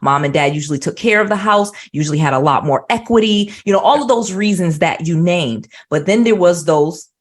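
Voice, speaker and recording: A woman's voice: lowish voice, lively intonation, fairly quick, hardly any pauses. Chatty woman hosting an interview. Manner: conversational, frequent restarts and "you know what I mean". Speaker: host. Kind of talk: casual interview chat.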